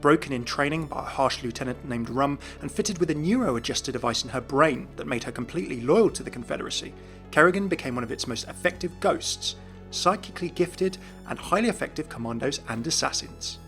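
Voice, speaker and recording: A man's voice: medium pitch, expressive, brisk, fairly bright tone, soft background music. A man hosting a video, giving scripted narration of video game lore.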